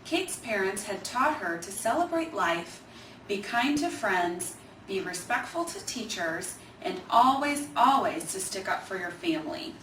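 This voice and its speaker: A woman reading a children's story aloud in an expressive, measured way, with emphasized phrases. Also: medium pitch. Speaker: Alzheimer's organization staffer reading a children's book. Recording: echoey room, distant mic, faint noise.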